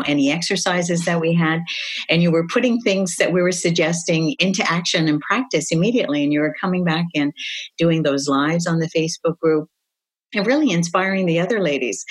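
A woman co-hosting an interview, encouraging her guest and checking in with "right?" as she talks. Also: low voice. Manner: warm, encouraging, leading questions with "right?". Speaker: podcast co-host. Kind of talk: casual interview chat.

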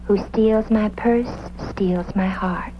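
A woman's voice: mid-range voice, steady tone, slow pace, close to an everyday voice. Woman doing a spacey gushing hippie flower shop lady, old radio show, muffled with some hiss. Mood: airy, insistent. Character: airy, dreamy hippie flower-shop woman with theatrical flourishes. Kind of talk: comedic radio police drama acting.

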